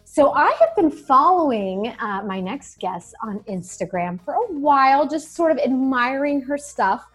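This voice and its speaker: A woman's voice: high voice, expressive, unhurried, breathy edge, faint music behind. Host: woman from Kansas, chatty and gushing, friendly interview lead. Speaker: podcast host. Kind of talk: casual interview hosting.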